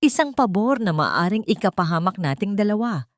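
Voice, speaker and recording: A woman's voice: mid-range voice, big pitch swings, moderate pace, no room sound, clean studio sound, voice pushed up, close to an everyday voice. A woman voicing a determined young woman doctor in an audio drama, speaking seriously and urgently. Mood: grave, urgent.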